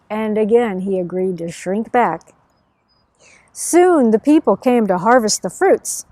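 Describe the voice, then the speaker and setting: A middle-aged woman reads aloud as a children's storyteller. Her voice sits a little high. She is recorded outdoors, with a dry sound free of echo. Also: a little mellow. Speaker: host, storyteller and author. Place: outdoors under a tree by a field.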